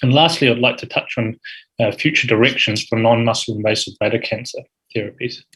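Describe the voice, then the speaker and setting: A man's voice: medium pitch, fairly bright tone, slightly rough, low-quality recording. New Zealand man giving a medical lecture. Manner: measured, frequent ums and uhs. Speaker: urology fellow giving grand rounds talk. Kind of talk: academic medical presentation.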